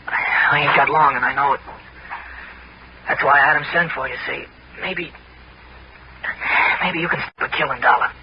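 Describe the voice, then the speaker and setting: An actor playing a dying American convict in his thirties, halting and short of breath, with rough street speech, in 1960s radio drama style. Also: on the high side, noisy background, lightly acted. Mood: bitter, weary. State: weak, struggling for breath. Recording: dull old radio recording with music.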